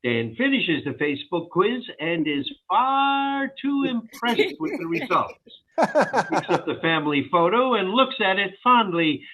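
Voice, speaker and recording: A man's voice: high-pitched, big pitch swings, measured pace, bright, forceful tone. A man reading stage directions aloud as the narrator of a virtual table read. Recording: tinny phone-like line.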